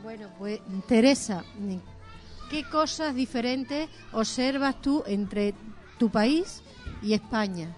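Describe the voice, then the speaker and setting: A woman from Spain co-hosting an interview, asking the guests questions deliberately. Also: fairly high pitch, faint music behind. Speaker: co-host.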